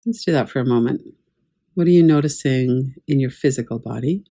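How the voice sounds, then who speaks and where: A woman teaching guided meditation in a calm, measured, gently instructive way. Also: very deep voice. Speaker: yoga nidra meditation teacher.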